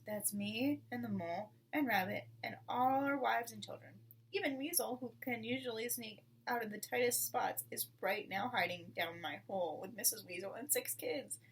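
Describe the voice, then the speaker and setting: A woman voicing an excitable, despairing male badger in a storybook, exclaiming anxiously. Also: fairly high pitch, moderate pace, natural voice. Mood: alarmed. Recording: distant mic with clear background noise.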